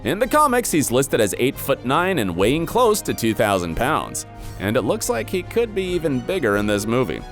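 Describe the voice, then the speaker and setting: A man narrates a scripted film breakdown at a middle pitch, with intonation that rises and falls dramatically. His delivery is emphatic yet conversational, like an online presenter. Faint music plays behind him. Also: huge pitch swings, normal speed. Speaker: video narrator.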